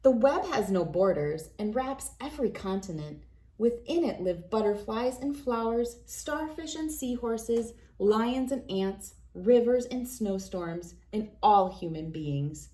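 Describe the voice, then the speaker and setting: A woman reading a children's picture book aloud as host, with expressive, deliberate storytelling phrasing. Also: a little high.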